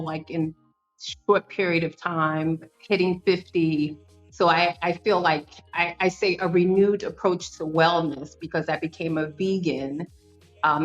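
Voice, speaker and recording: A woman's voice: fairly low pitch, dull audio. A woman in her fifties, a podcast guest, telling her story in an earnest, conversational way with frequent fillers and restarts. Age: 50s. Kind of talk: conversational interview.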